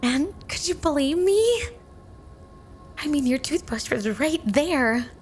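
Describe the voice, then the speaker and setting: A woman's voice: high-pitched, lively intonation, takes it slowly, faint music behind. A woman playing a flirty, doting, obsessive snow woman wife in an intimate ASMR roleplay, teasing and coy. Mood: gushing, obsessive.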